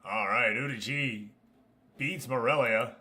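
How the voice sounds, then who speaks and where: A man with a sharp, bright voice at an average male pitch hosts a game livestream. He comments on play in a casual, informal way and talks directly to the people watching. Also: slow. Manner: informal, chatting to viewers. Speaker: livestream host.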